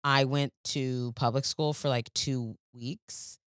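A woman guest on a podcast, telling stories in casual chat with animated bursts of laughter. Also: extremely low pitch, slow, clean tone, dry recording, clean studio sound.

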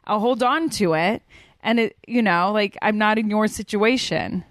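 A woman's voice: mid-range voice, measured pace, dry recording. Chatty woman guest, dry sound. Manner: chatty, storytelling with quoted asides. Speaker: podcast guest. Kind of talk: casual chat.

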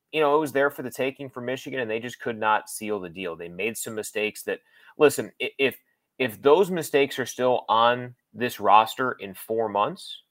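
A man's voice: on the low side, fairly bright tone, no room sound. A man working as a sports broadcaster, giving conversational analysis as a guest, explaining and qualifying his points with emphasis.

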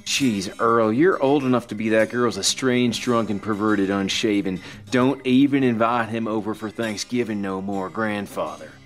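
A man's voice: fairly low pitch, moderate pace, hardly any pauses, soft background music, voice pushed up, close to an everyday voice. A man voicing a blunt, uneasy trucker who speaks up sharply and tensely. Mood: mocking.

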